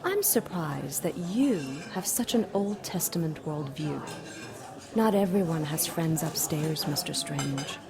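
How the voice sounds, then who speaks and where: A cold, amused demon queen who talks down to humans in a lowish, unhurried voice. She mocks slowly and sounds sure of her power. A murmur of crowd noise runs behind her in this audio drama. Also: lively intonation, creaky, full character voice. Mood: mocking. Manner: haughty, slow and mocking.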